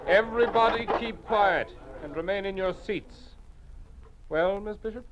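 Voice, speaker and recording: Muffled noisy old radio recording, stern male official, high-pitched, curt, commanding. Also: unhurried. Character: stern male official coming to take a teacher away. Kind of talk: old-time radio drama acting.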